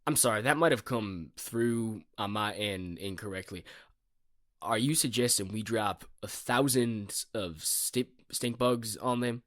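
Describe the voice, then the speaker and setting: A man's voice: fairly low pitch, measured pace, dry recording, studio quality. A man playing a self-important, childish American president in a comedy audio drama, pompous and fretful. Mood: measured, puzzled. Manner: pompous, fretful, bursts into shouting.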